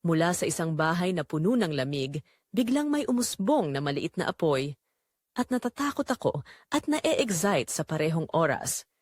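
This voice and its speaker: Casting: female narrator as lonely wealthy wife with secret longing. Measured, confessional storytelling, lively pitch movement, dry recording. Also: no echo. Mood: tender, longing. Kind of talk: dramatic first-person story narration.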